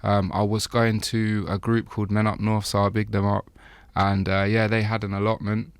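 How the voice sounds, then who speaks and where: A man giving an interview, talking in a relaxed, rambling way with frequent laughs. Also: lowish voice, normal speed, clean tone, no room sound. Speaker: interview guest, chef. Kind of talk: casual interview talk.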